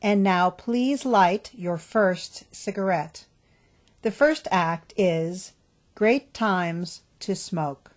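A woman's voice: medium pitch, extremely slow. A woman announcer giving scripted narration for a radio play.

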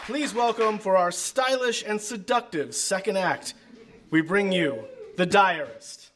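A man's voice: high voice, measured pace, a bit bright. A man hosting a live theater show, announcing to the audience from the stage. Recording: live theater, faint noise.

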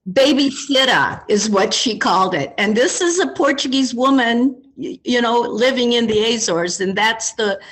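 A woman's voice: on the high side, lively intonation, normal speed, hardly any pauses, a bit bright, low-quality recording. A woman on a conference panel who shares anecdotes in a chatty way. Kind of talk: panel discussion.

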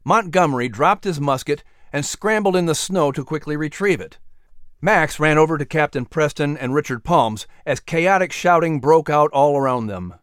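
A man's voice: on the high side, normal speed, a bit bright, clear, resonant tone, no room sound, clean studio sound. A man narrating an audiobook story in a dramatic, scripted style.

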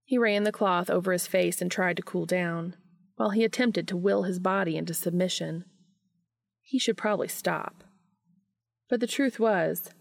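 A woman narrating fan fiction in an expressive audiobook style. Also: fairly low pitch, gravelly.

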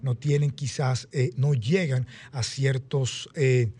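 A man's voice: medium pitch, steady tone, slow pace, mellow, husky, no room sound. A man in his late thirties with a Dominican accent, giving emphatic advice as a guest fitness coach in a radio interview. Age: late 30s.

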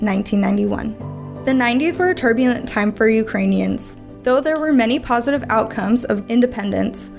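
A woman's voice: a little high, creaky, a little mellow. A woman hosting a podcast, reading a polished script. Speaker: podcast host.

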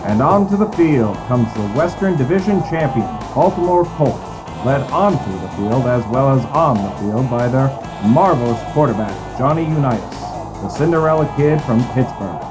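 An actor playing a brisk, dramatic 1950s football announcer, calling play-by-play in a declamatory old-time style. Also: male voice, medium pitch, over music, close to an everyday voice, rough recording. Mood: announcing. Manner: declamatory, building excitement on plays. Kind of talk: old-time sports commentary.

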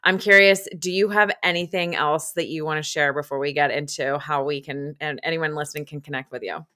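A woman's voice: deep voice, steady tone, a little fast, pushed and bright. A woman hosting a podcast interview, gushing and upbeat, then reading her sign-off. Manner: gushing, upbeat, says "so good" often. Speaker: podcast host.

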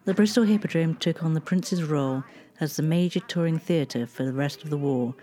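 A woman, reading a scripted local history narration in a measured way. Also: sits low, warm tone, slight background noise. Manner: measured, reads dates and figures.

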